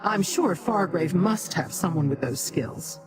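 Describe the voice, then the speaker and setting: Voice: medium pitch, animated, close to an everyday voice. Haughty, imperious Daedra, voiced by a game actor, over music. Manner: condescending, formal, measured. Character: haughty, imperious Daedra ally, scornful of mortals. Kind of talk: scripted video game dialogue. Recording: music behind the dialogue.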